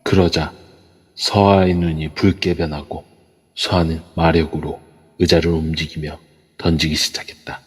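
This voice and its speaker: Deep husky male narrator. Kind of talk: storytelling narration in an amateur audio drama. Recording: long echoey reverb.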